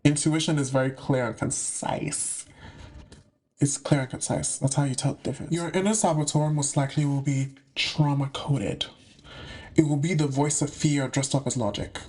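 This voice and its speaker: A young man from the UK talks casually to the camera with a higher-than-usual voice. His speech is chatty and full of slang, with playful asides as he shares spiritual advice. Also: steady tone, moderate pace, darkish tone, breathy edge. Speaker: spiritual content creator.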